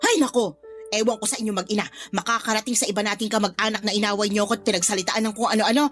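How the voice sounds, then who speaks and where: A woman's voice: mid-range voice, fairly even intonation, rapid-fire, fairly bright tone, faint music behind, lightly acted. A woman playing a brazen, self-righteous older aunt in a radio drama, indignant and scornful in manner. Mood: scornful, defensive.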